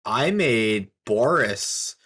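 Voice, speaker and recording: A man co-hosting a casual discussion, halting and careful as he chooses his words. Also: fairly low pitch, lively intonation, fairly bright tone, slightly rough. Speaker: podcast co-host.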